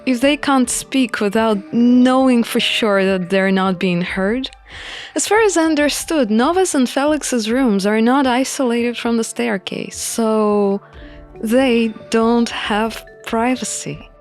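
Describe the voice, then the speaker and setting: A woman reading a visual novel aloud and commenting on it as she plays, in a deliberate manner. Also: a little high. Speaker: game playthrough narrator. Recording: music under the voice.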